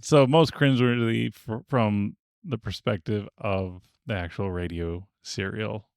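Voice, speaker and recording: A man with a low-pitched voice, chatting casually as the host of a panel podcast. The sound is close and dry, with very little room in it. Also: measured pace, vocal fry, darkish tone, clean tone, no echo. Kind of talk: casual chat.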